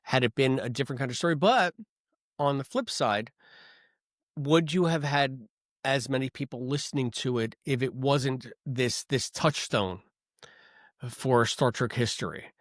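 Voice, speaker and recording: A man with a medium pitch talks on a podcast in a thoughtful, musing way and wonders aloud about things. The sound is dry and close, with no room echo. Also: measured pace. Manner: thoughtful, wondering aloud, reads listener comments. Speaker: podcast co-host. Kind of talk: casual podcast chat.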